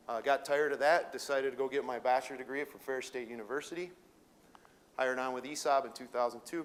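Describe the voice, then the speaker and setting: A middle-aged man from Detroit with a Minnesota accent, giving an informal talk to educators with lots of fillers and restarts. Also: medium pitch. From Detroit, Michigan. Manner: folksy, rambling, many fillers and restarts. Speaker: welding sales manager presenting to educators. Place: room with an audience.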